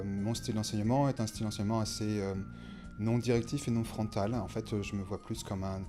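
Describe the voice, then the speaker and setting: A male math teacher giving a thoughtful, measured self-introduction. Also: fairly low pitch.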